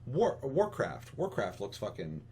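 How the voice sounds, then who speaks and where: A man's voice: mid-range voice, huge pitch swings, measured pace, a little mellow. A man chatting casually as a podcast host, giving opinions in an emphatic, rambling way full of profanity. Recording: clearly audible hum and noise.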